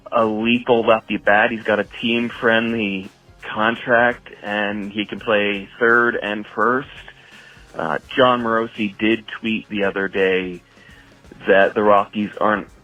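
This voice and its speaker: A man calling in as a sports fan, leaving a casual voicemail in halting, short phrases on a lo-fi recording. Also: fairly low pitch, little pitch movement, soft background music.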